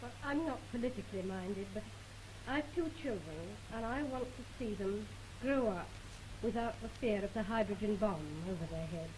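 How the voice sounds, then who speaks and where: A woman's voice: medium pitch, moderate pace. A woman, a housewife and voter, putting a formal question in a 1950s political broadcast. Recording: noisy 1950s archive broadcast recording.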